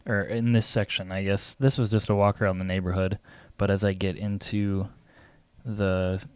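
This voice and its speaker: Young male vlogger, low voice, slightly raspy, halting casual ramble, dry close desk mic. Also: little pitch movement, no room sound. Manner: hesitant, many fillers and restarts, clears throat often. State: voice getting raspy. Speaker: photographer and vlogger. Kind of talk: casual rambling commentary while photo editing.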